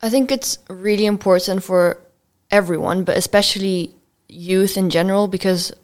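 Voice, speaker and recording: A woman's voice: mid-range voice, fairly even intonation, moderate pace, darkish tone. A fifteen-year-old girl from Belgium, answering questions as a student guest in an interview.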